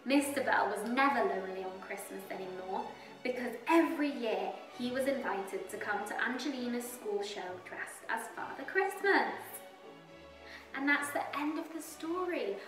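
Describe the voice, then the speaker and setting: Female children's storyteller, higher register, expressive deliberate narration, roomy sound. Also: recorded from a distance. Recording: roomy echo, music behind. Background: soft music.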